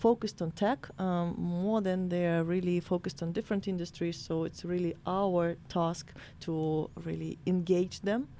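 A woman from Ukraine talks in a low, mellow voice, pausing often with fillers and restarts. Faint noise sits behind her in the studio. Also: steady tone. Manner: hesitant, many fillers and restarts. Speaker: tech promotion representative. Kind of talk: studio discussion.

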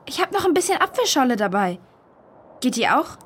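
A woman's voice: sits high, big pitch swings, fairly quick. A woman playing a helpful, concerned young girl with an eager, questioning manner in a children's audio drama.

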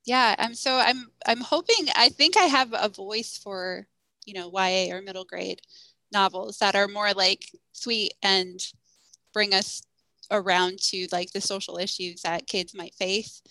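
A woman's voice: medium pitch, measured pace, pushed and bright, no room sound, rough recording. A woman guest, a school counselor and author, answering interview questions in a friendly, thoughtful way with frequent ums.

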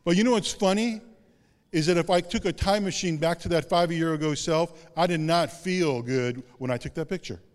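A male pastor giving a sermon in a conversational, self-deprecating way with casual asides. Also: normal speed. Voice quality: deep voice. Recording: long hall reverb.

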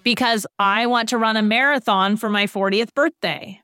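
A woman's voice: on the high side, normal speed, sharp tone, smooth, dry recording. Dry, explanatory woman in her late thirties. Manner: explanatory, gives examples. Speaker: podcast co-host. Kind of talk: casual chat, explaining ideas.

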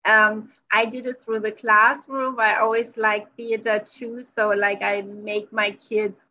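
A woman's voice: medium pitch, little pitch movement, measured pace, dull audio. A woman whose first language is German, hosting a conversational interview, enthusiastic and effusive with many "you know"s. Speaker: podcast host.

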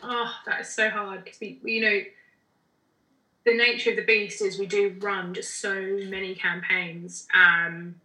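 A woman with an Australian accent talks casually as an interview guest, with plenty of fillers and restarts. Her voice is very bright and forward. The room around her rings with noticeable echo. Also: medium pitch, level tone, measured pace. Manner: chatty, lots of fillers and restarts. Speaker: podcast guest, general manager.

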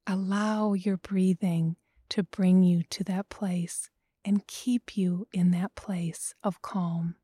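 A woman guiding a breathing meditation, speaking slowly and softly and often in a whisper. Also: lowish voice, steady tone, slightly rough, no room sound. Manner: slow, soft, often whispered. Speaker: meditation guide.

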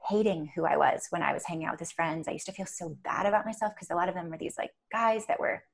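A soft-spoken woman, a podcast guest chatting casually and rambling with many fillers. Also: lowish voice, fast talker, vocal fry. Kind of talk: casual chat.

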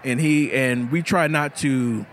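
Man, mid-pitched, lively, quick to laugh, faint outdoor noise. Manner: laughs often, animated. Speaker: knife maker guest. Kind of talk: casual interview.

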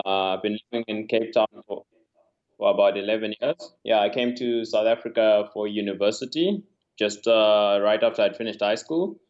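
Man from Zimbabwe on a dull call line. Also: on the low side. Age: about 30. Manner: relaxed, hedging with "sort of" and "yeah". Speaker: software engineer guest. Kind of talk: remote interview answers. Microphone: call over the internet.

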